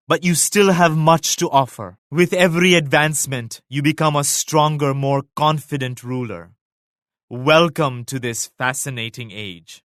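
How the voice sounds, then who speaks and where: An actor voicing a devout, dignified Mughal emperor in a video game, speaking in a solemn, formal, measured manner. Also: male voice, a little high, a bit bright, dry recording, clean studio sound. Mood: grateful, reverent. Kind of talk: scripted video game character lines.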